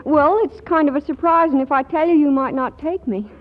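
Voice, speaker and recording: A woman's voice: high-pitched, brisk, lightly acted. An actress playing a feisty, impulsive young woman in a 1940s radio comedy, speaking emotionally and insistently. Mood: coaxing. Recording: dull vintage 1940s radio recording.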